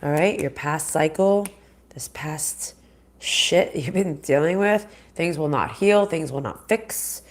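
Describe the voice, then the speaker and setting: A woman's voice: sits low, slow pace. A woman with a nasal, stuffy voice from a sinus infection, giving a live oracle card reading in a chatty style with stops and restarts. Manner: chatty, stops and restarts, greets viewers by name. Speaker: spiritual reader and channel host.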